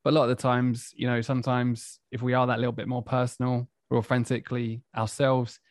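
A man's voice: mid-range voice, level tone, fairly quick, no echo, studio quality. A man with an Essex accent hosting a podcast interview, talking in an enthusiastic and affirming way. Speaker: podcast host.

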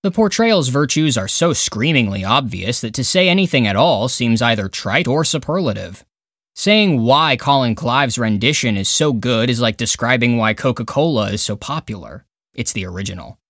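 A man's voice: mid-range voice, fairly bright tone, no echo, studio quality. A man reading a scripted review countdown as a podcast narrator and critic, with an emphatic, opinionated, dramatic way of phrasing.

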